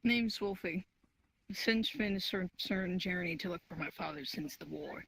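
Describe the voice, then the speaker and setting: A woman playing a guarded, reticent werewolf wanderer in an online roleplay, with a husky voice. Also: fairly low pitch, moderate pace, fairly bright tone, full character voice, rough recording. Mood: matter-of-fact.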